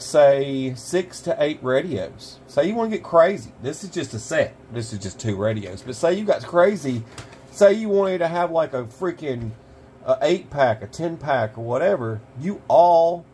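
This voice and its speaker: A man's voice: medium pitch, a little mellow. A middle-aged American man giving a casual product review to camera in a folksy, rambling way, repeating phrases for emphasis. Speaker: survival channel host. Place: small cluttered indoor gear workshop. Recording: clear background noise with hum.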